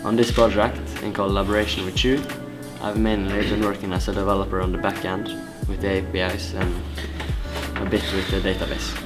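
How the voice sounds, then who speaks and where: A man in his early twenties introducing himself quietly and flatly. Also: deep voice, brisk, over loud music. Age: 20s. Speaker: programming student.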